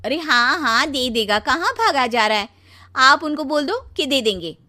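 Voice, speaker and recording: A woman voicing a demanding, sharp-tongued young wife with a complaining, exclamatory manner in an audio story. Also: sits high, expressive, normal speed. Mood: insistent.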